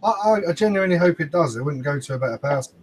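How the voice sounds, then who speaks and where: A man's voice: on the high side, animated, rapid-fire, slight background noise. A man chatting informally and bluntly as a panellist on a talk show. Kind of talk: casual chat.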